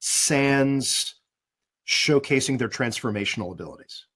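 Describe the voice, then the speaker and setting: A man who is a guest voice actor, speaking in a thoughtful, relaxed way over a video call in a casual webinar chat. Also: medium pitch, unhurried. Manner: thoughtful, relaxed, with many fillers. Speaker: guest voice actor and demo producer.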